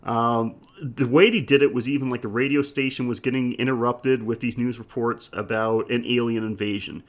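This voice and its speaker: A man with a medium-pitched voice co-hosts a podcast. He talks in a loose, conversational style, discussing films. His manner is jokey and full of banter. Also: steady tone, moderate pace. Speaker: podcast co-host. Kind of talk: casual film discussion chat.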